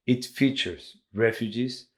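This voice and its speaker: A man with a Colombian accent, a native Spanish speaker, giving a formal, measured scripted narration as an artist presenting projects. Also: medium pitch. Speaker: visual artist.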